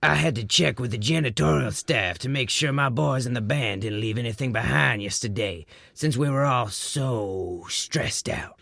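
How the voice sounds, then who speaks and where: A laid-back jazz cat, acted by a man, full of gravelly creak at a medium pitch. He talks in hipster slang in a comic radio-play style, recorded dry and close. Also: moderate pace, few pauses, a bit bright, dry recording. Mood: laid-back, curious. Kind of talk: comic noir audio drama acting.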